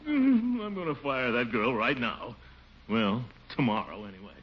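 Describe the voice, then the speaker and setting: An actor playing a pompous, soft-hearted middle-aged water commissioner who blusters and talks to himself, in 1940s radio sitcom style. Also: male voice, medium pitch, big pitch swings, moderate pace, over loud music, lightly acted. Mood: exasperated. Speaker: lead character. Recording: dull lo-fi 1940s radio recording with faint hiss.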